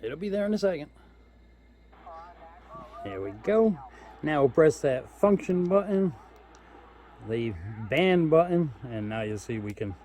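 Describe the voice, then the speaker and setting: A man's voice: medium pitch, darkish tone, a little hiss or hum. A man giving a casual hands-on product demo, explaining step by step in an informal, folksy way. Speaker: host of a radio gear review channel.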